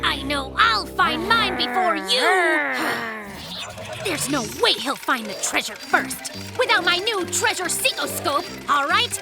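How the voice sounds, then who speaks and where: An actor voicing a bossy, excitable young male bear cub in a children's cartoon, boastful and theatrical, playing at being a pirate captain. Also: very high-pitched, a little slow, over loud music, full character voice. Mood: bossy, indignant.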